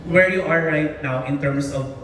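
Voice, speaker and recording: A man's voice: fairly high pitch, moderate pace, fairly bright tone. A man asking questions as a journalist, hesitant and full of fillers. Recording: echoey hall, distant mic, noisy.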